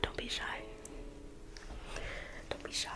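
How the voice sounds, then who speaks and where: A woman in an ASMR roleplay, playing a private museum guide in a slow, coaxing whisper that repeats phrases. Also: loud music behind the voice. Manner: coaxing sales-pitch tone.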